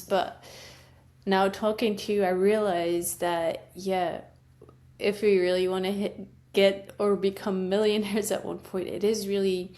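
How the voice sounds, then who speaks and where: A woman's voice: medium pitch, a little mellow. Chatty woman podcast host. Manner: chatty, rambling, many fillers. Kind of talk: casual interview chat.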